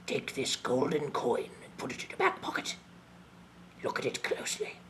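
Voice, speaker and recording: A man voicing a mysterious old male wizard who speaks in a hushed, whispery way. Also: medium pitch, wildly swooping pitch, normal speed, a little hiss or hum, voice pushed down. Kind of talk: storytelling.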